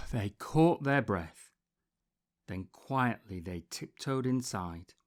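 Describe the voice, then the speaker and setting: Man reading a children's storybook, slow and expressive. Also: lowish voice. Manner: deliberate, dramatic phrasing with pauses, repetition and emphasis. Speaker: storybook reader.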